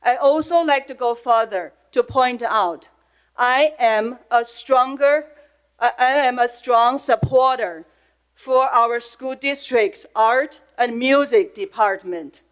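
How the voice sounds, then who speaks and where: A woman's voice: fairly high pitch, slow. Earnest middle-aged woman with Chinese accent. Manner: earnest, emotional, reading from prepared notes. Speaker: public commenter at a school board meeting. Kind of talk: prepared public comment. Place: public meeting room.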